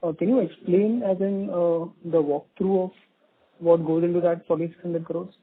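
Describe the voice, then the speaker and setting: A man's voice: high-pitched, fairly even intonation, normal speed. A man with an Indian accent calling in as an analyst, politely asking questions over the phone. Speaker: analyst caller. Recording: narrow tinny phone line.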